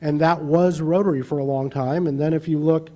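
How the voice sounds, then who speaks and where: A man's voice: on the high side, fairly even intonation, a little fast, dark tone. A man speaking at a service club meeting, answering audience questions in a chatty, self-deprecating way.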